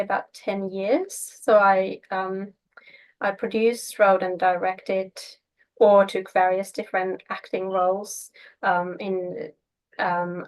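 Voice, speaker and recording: Creaky-voiced Finnish woman in an interview. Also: mid-range voice, poor audio. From Finland. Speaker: interview guest, filmmaker and actor. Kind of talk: casual interview talk.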